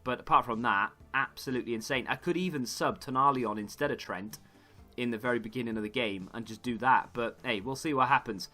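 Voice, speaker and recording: Bright-voiced gaming YouTuber. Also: male voice, medium pitch, fairly quick, soft background music. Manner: rambling, self-correcting chatter. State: slightly hoarse. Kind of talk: casual gaming commentary.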